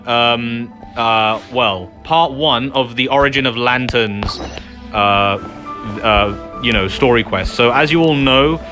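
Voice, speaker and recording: A man hosting a gaming video with casual, conversational playthrough commentary. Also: mid-range voice. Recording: game music behind voice.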